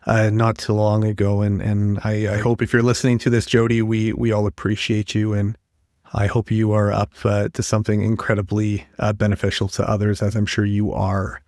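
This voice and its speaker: Male podcast host, friendly and conversational, mid pitch, dry studio sound. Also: little pitch movement, moderate pace, darkish tone, no echo. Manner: friendly, conversational, reads out resource details. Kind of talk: interview hosting with scripted info segments.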